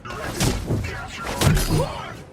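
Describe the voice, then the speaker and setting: A man's voice: measured pace, distant mic. A man voicing a menacing robot hunter drone in a video game, giving clipped, emotionless commands through a robotic effect. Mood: cold, threatening. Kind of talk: video game villain machine voice. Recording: loud game music and thuds.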